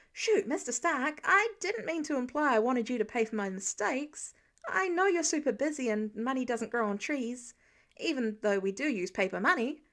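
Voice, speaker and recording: An excitable teenage superhero boy, played by a woman, high in pitch. He rambles in breathless run-on sentences, throws in sound effects and is quick to apologize, as in a fan fiction audiobook. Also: vocal fry, full character voice. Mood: flustered, earnest.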